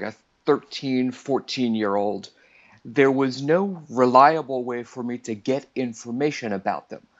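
A man's voice: medium pitch, lo-fi sound. A man in his forties, a returning podcast guest, chatting casually in a rambling, self-deprecating way. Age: 40s. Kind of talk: casual chat.

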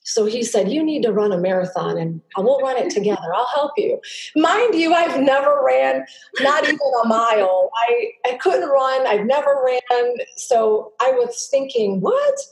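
A woman's voice: sits high, sing-song. Earnest middle-aged woman, echoey room. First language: Greek. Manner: earnest, halting, with many restarts. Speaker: podcast guest. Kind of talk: personal storytelling in an interview. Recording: roomy echo.

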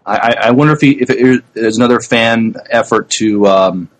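A man co-hosting a podcast in casual chat, with a hesitant, halting way of talking. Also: medium pitch. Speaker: podcast co-host.

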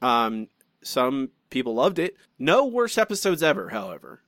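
A man's voice: medium pitch, moderate pace, no room sound. A man in the middle of his voice range hosts a casual podcast, reading comments aloud and breaking off into asides and restarts. The sound is dry and close, with no room around it. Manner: chatty and animated, many restarts and asides. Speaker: podcast co-host.

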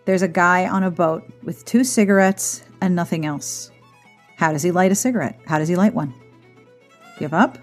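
A woman hosting a podcast, reading sponsor notes, thanks and a silly joke in a chatty, playful way. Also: lowish voice. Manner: chatty, playful, warm delivery of jokes and thanks. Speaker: podcast host. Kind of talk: casual podcast outro with sponsor read.

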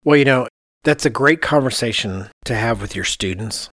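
A man's voice: mid-range voice, moderate pace, fairly bright tone, no echo, clean studio sound. A man co-hosting a podcast, explaining things casually and conversationally, often thinking aloud. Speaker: podcast co-host, architect.

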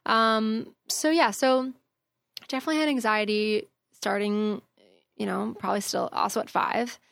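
A woman's voice: fairly high pitch, steady tone, moderate pace, vocal fry, a bit bright, no room sound. A young woman talking casually as a podcast guest, chatty, with lots of fillers and laughs. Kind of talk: casual conversation.

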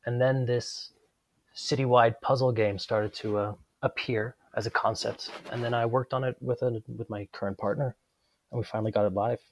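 A man raised abroad, answering interview questions as a guest game designer in a relaxed, matter-of-fact way with dry humor. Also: medium pitch, normal speed, slight background noise. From Netherlands, raised abroad.

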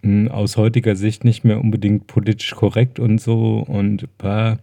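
Male podcast co-host, lowish and very mellow, wry conversational delivery with some groping for words, dry close sound. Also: flat delivery, moderate pace, gravelly, no room sound. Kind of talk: casual podcast chat.

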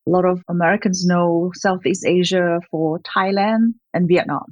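A woman in her fifties with a slightly low voice. She rambles through her answers, full of fillers and soft laughs. The sound is dry and somewhat dull, like a lo-fi recording. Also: steady tone, moderate pace, no room sound. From Malaysia. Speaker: gallerist, guest. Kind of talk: conversational interview.

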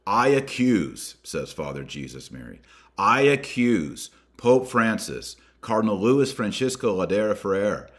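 A man hosting a podcast, reading a document aloud with emphatic, deliberate delivery and adding his own commentary. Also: on the low side, very animated. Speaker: podcast host.

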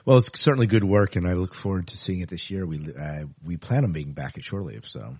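A man's voice: low voice, lively intonation, brisk, a little mellow, husky. A man hosting a podcast interview, chatting informally and often giving short affirmations. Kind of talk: casual interview chat.

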